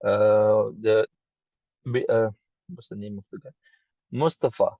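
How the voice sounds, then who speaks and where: Halting male student, dull lo-fi sound. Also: lowish voice, no echo. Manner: halting, tentative, repeats words. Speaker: student of Arabic. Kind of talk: reading aloud and translating in a lesson.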